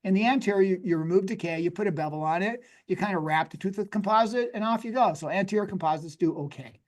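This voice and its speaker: A man with a slightly raspy, talk-worn voice, explaining technical matters conversationally as a guest expert, in an emphatic, folksy way with rhetorical questions. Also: high-pitched, quick pace. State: voice worn from days of talking. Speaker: guest expert, dentist and inventor.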